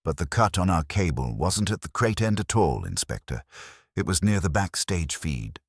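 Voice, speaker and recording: A man's voice: deep voice, big pitch swings, normal speed, husky, dry recording, clean studio sound, exaggerated voice. A man voicing an earnest, defensive technician's assistant in a mystery story, explaining plainly in clipped phrases.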